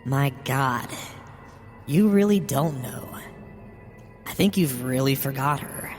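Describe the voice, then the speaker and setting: A man voicing a bitter, grieving old mother in a horror story, wounded and resentful, confessing haltingly. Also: mid-range voice, animated, pitched above the performer's own voice. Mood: hurt disbelief. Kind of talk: dramatic horror story reading. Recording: long hall reverb. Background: music.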